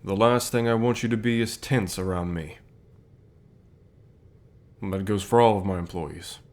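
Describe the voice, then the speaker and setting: A man playing a calm, reassuring company boss with gentle authority, speaking softly in measured phrases for an ASMR roleplay. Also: lowish voice, lightly acted. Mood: reassuring, concerned. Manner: measured, soft-spoken, deliberate pauses. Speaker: voice actor in an audio roleplay.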